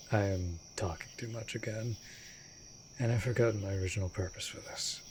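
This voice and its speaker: Night crickets hum behind a deep-voiced vampire master played by a man. He is a brooding, tender immortal in a romantic fantasy roleplay, and he speaks in formal, old-fashioned phrases at a very unhurried pace, often sinking toward a whisper. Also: natural voice. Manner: formal archaic wording, slow and deliberate, often dropping to breathy whisper. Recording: steady background noise of night crickets. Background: night insects and crickets.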